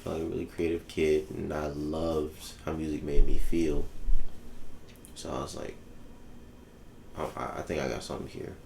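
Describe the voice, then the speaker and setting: A man's voice: extremely low pitch, little pitch movement, normal speed, creaky, long pauses, darkish tone. A young man from the Bahamas, a musician talking easily as an interview guest, laid-back and full of ums. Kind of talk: casual interview chat. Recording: echoey room with faint background noise.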